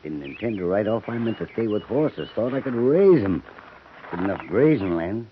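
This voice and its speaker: An actor playing a wary, stubborn frontier homesteader who grumbles and complains, in a 1950s radio drama. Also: male voice, on the low side, lively intonation, normal speed, natural voice. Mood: grumbling. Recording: thin phone-like old radio recording, faint hiss.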